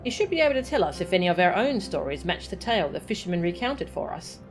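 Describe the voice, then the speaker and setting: Woman reading a gentle sea-dwelling maiden. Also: on the low side, big pitch swings, fast talker, faint music behind. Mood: thoughtful.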